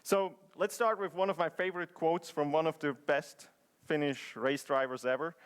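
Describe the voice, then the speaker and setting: A man's voice: fairly high pitch, steady tone, normal speed, smooth. Chatty man giving a conference talk. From Switzerland. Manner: chatty and joking, with many fillers and restarts. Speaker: conference speaker. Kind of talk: conference presentation. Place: conference hall.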